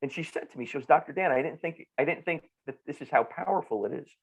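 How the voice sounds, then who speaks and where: A man's voice: on the high side, quick pace, creaky, rough recording. A middle-aged man with a New Jersey accent explains things conversationally and emphatically, repeating phrases and posing rhetorical questions. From northern New Jersey, United States. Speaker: nutrition clinician, podcast guest.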